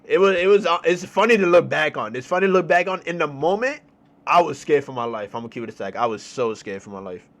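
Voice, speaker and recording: A young man with a New York accent telling a story in a casual, slangy, animated way, repeating phrases for emphasis. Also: on the high side, fast. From New York City, United States. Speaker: storytelling streamer.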